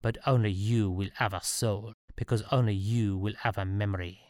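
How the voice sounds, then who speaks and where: A man's voice: lowish voice, steady tone, normal speed, a little mellow, no room sound, clean studio sound, close to an everyday voice. A man voicing a grandiose, power-hungry emperor villain in a story, pompous and self-satisfied. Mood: grand, gloating.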